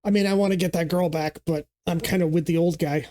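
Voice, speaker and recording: A man's voice: fairly high pitch, steady tone, quick pace, dark tone. An older man hosting a movie reaction, cracking profane jokes and talking back at the screen. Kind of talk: casual movie reaction commentary.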